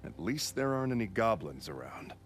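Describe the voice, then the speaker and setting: Game adventurer guide: breezy, wisecracking, lively intonation. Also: male voice, on the low side, fairly quick. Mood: alert. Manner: wry, casual, playful emphasis. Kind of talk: video game cutscene acting. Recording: loud music under the voice.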